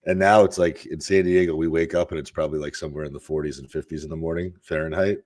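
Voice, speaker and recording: A man's voice: very deep voice, fairly even intonation, fast talker, a little mellow. A man in his thirties from Russia, a fitness coach telling candid, rambling stories as a podcast guest. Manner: candid, rambling storyteller with restarts. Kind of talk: casual interview talk.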